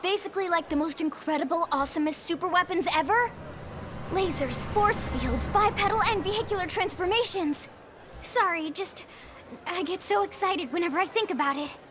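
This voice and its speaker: Teenage girl for game cutscenes: high, chirpy, eager tech enthusiast, informal, faint ambience behind. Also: moderate pace, fairly bright tone, slight background noise. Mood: gushing, excited. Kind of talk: video game cutscene acting.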